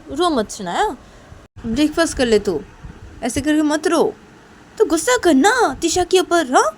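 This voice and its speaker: A woman's voice: high-pitched, voice pushed up. A woman voicing an indignant, bossy elder sister in a story, scolding insistently and repetitively.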